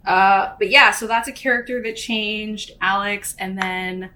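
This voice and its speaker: A woman's voice: mid-range voice, fairly even intonation, a little slow, sharp tone. A young woman chatting casually while she cooks, laughing often and using lots of fillers. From Canada. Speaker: author and vlogger. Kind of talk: casual chat while cooking.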